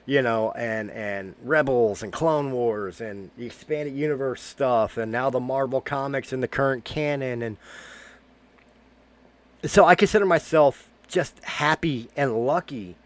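A man's voice: medium pitch, measured pace. A man in his forties, getting over a cold, giving a rambling casual review with lots of filler words and self-corrections. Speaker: fan reviewer.

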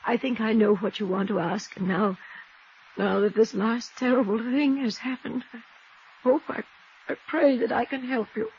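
A fluttery, genteel older widow played by a woman in a fifties radio play. Her voice is soft-toned and crackly, and her phrases come haltingly. The old recording is muffled and noisy. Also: on the high side, steady tone, natural voice. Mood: earnest, fragile. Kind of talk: 1950s radio drama acting.

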